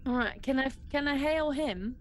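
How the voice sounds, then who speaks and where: High-voiced woman player, noisy background. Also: moderate pace. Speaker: tabletop game player. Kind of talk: casual in-game chat. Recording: clear background noise.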